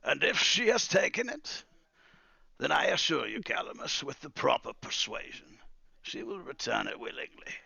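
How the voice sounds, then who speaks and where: Theatrical, high-swooping old wizard. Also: male voice, big pitch swings, gravelly, bright, forceful tone, breathy edge, no echo, highs cut off, heavily acted. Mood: menacing. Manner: theatrical, drawn-out, swinging between cries and hisses. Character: old bearded wizard, grieving, brooding, quietly menacing.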